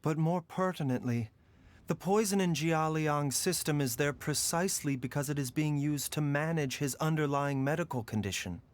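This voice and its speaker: A man voicing a calm, composed physician in game dialogue, speaking in a soft, formal, measured way. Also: a little high, close to an everyday voice. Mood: explaining. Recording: soft music underneath.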